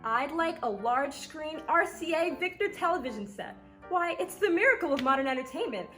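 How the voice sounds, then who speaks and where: Woman playing high-voiced, cocky little boy. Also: lively intonation, moderate pace, a bit bright, soft background music. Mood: cheeky, eager. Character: cocky, eager little paperboy pitching products. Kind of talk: old-time radio advertisement acting.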